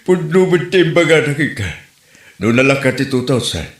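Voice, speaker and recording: A man's voice: mid-range voice, expressive, moderate pace, close to an everyday voice. A man with an Ilocano Filipino accent playing a nagging, scheming village husband in a comedy skit. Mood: dismissive, teasing. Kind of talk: Ilocano comedy drama acting. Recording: roomy echo, music behind.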